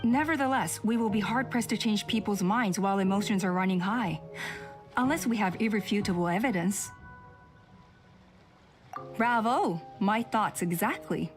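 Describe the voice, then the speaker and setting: A woman voicing a calm, cautious woman elder of a tribe in a video game, speaking in a measured, cautioning way. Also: medium pitch, faint music behind, natural voice.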